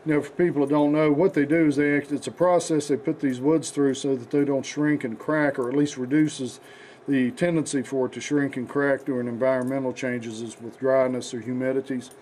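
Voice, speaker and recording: A man with a hoarse, raspy voice from the flu, hosting a casual knife show with rambling talk and many fillers. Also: mid-range voice, flat delivery, fairly quick, darkish tone, slight background noise. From United States. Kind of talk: casual product demonstration.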